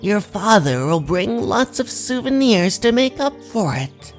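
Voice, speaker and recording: A woman playing a gentle old grandmother in a dramatised story, speaking in a soothing, reassuring way. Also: mid-range voice, very animated, measured pace, soft background music.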